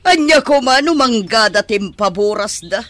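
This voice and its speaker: A woman's voice: mid-range voice, animated, moderate pace, fairly bright tone, lightly acted. An actress playing a practical village woman who asks lots of questions in a radio drama. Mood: curious. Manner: curious, questioning.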